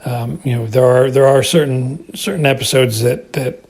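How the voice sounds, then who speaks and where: A man's voice: medium pitch, steady tone, moderate pace, darkish tone. A middle-aged or older American man giving a casual spoken review, hesitating and repeating words as he rambles. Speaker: listener calling in with feedback.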